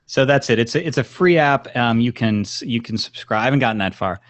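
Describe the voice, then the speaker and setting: A man's voice: medium pitch, lively intonation, brisk, no room sound. A man hosting a podcast in a casual, informal and rambling chat style. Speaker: podcast host.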